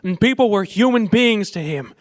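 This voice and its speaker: High male voice, earnest young small-town man, stammering, folksy, old-time radio play, dry close sound. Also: lively intonation, normal speed, no echo. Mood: indignant, earnest. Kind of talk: live radio play acting in an old-fashioned manner.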